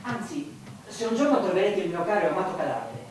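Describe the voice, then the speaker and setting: A man's voice: high-pitched, sing-song, fast, a little hiss or hum. A man playing a dead young soldier in a radio play, artless, wry and resigned, talking in a colloquial, rambling way. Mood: sleepy irony. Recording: echoey, distant stage sound over guitar music.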